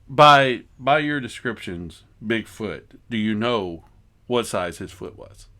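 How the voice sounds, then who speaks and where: A man's voice: fairly low pitch, expressive, slow pace, bright, forceful tone. A man playing reporter in an improvised comedy interview, putting skeptical, teasing questions to an eyewitness.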